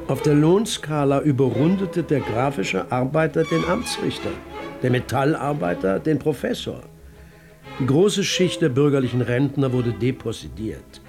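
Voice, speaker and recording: An actor as a dry, precise narrator, giving literary documentary narration in a radio play. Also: male voice, mid-range voice, vocal fry. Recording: radio drama with music underneath.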